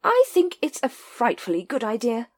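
A woman voicing a hearty, booming upper-class lady who talks in a gushing, brisk and jolly way. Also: huge pitch swings.

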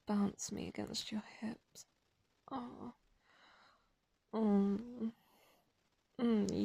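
A woman's voice: medium pitch, creaky, dark tone, husky, no echo. A woman in an intimate erotic audio roleplay, breathless and aroused, whispering between moans.

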